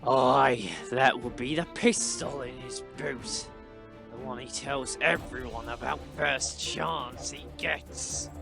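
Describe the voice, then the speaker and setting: An actor playing a sceptical, reluctant pirate sidekick in an audio play, with a gravelly voice and dry, grudging manner. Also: male voice, medium pitch, steady tone, slow pace, over music.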